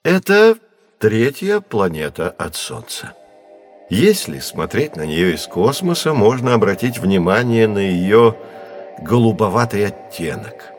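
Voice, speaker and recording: A man playing a patient, learned astronomer who guides children in an educational audio drama, measured and didactic. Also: lowish voice, sing-song, faint music behind. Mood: gently teasing. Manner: measured, didactic, clearly enunciated.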